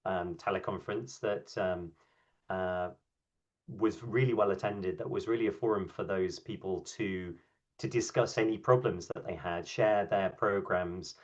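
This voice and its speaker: A man speaking on a video call as a workshop speaker, answering questions in a relaxed way with many ums and restarts. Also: deep voice, a little slow. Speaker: invited speaker at an online workshop. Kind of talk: conversational talk and answers. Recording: dull, lo-fi video call audio.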